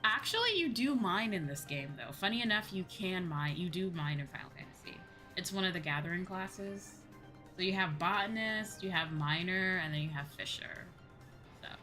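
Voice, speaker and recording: A woman's voice: fairly low pitch, sharp tone. A woman livestreamer chatting casually to her viewers as she plays, informal and rambling, often repeating herself. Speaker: livestreamer and host.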